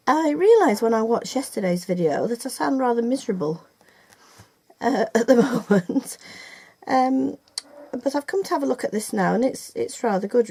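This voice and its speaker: Older woman, husky and hesitant, chatty home-tutorial teacher. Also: on the high side, a little mellow. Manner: chatty, hesitant, with many ums and self-corrections. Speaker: felting teacher. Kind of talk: casual video tutorial. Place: home room, upstairs.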